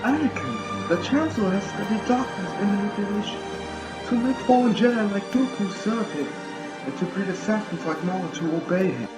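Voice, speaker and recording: A man's voice: sits high, animated, moderate pace, voice pushed up. A man voicing an earnest male Jedi master who pleads with and reasons with his fallen student. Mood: urging. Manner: urgent, reasoning. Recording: echoey room with music behind.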